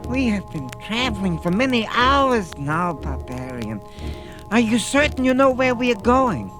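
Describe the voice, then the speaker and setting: An actor playing a small, shriveled, scheming desert slave trader in a 1970s audio drama, with an oily, theatrical manner. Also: male voice, high-pitched, big pitch swings, unhurried, over music. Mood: doubtful.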